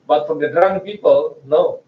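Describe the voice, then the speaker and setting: An Indonesian-accented man in his forties lectures at a research seminar, chatty and prone to restarting his sentences. His voice sits a little high for a man. He is picked up from a distance in an echoey room, and the sound is muffled. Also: normal speed. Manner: chatty, hesitant restarts, frequent laughs.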